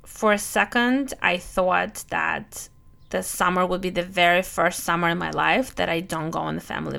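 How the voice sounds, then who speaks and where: A woman's voice: fairly low pitch, creaky, a bit bright. A woman in her thirties with a Russian accent mixed with some Brooklyn, hosting a conversational, scripted solo travel podcast. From Europe, moved to the US in youth. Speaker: podcast host, family travel planner.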